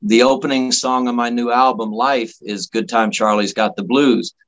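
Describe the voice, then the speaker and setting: A man from Miami, Florida, a guest musician telling casual anecdotes in a halting, folksy, jokey way. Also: mid-range voice, steady tone, a bit bright, muffled recording. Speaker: guest musician, singer-songwriter.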